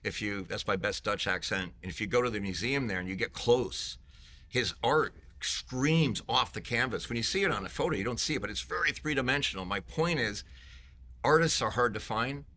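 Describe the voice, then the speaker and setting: A man's voice: mid-range voice, moderate pace, sharp tone. A man in marketing, talking up his company in a playful, salesy, joking way during a conference interview. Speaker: vendor marketing executive.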